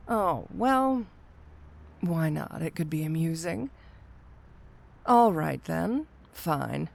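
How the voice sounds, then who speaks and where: Slow, low-voiced flirty villainess played by a woman. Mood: amused, teasing. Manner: coy, drawling, playfully condescending. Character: flirty, teasing female supervillain cat burglar, confident and sly. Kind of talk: intimate ASMR roleplay addressed to the listener.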